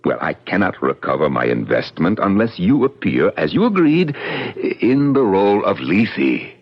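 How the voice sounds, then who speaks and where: An actor playing a persuasive, grandiose theatrical impresario in a 1970s radio drama, pompous and emphatic. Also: male voice, sits low, very animated, moderate pace, husky, full character voice. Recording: dull old 1970s radio broadcast.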